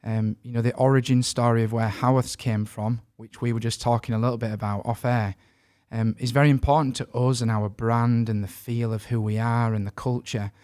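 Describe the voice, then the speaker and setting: A man's voice: mid-range voice, flat delivery, a little fast, few pauses, a little mellow, clean tone, dry recording, studio quality. Northern English man hosting a podcast. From northern England. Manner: chatty, self-deprecating, many ums. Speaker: podcast host. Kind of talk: podcast interview.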